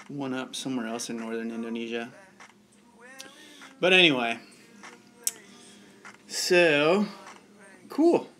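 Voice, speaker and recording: A man's voice: medium pitch, fairly bright tone. A young man hosting a live bartending stream, chatting casually and laid-back with viewers. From United States. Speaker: bartending channel host.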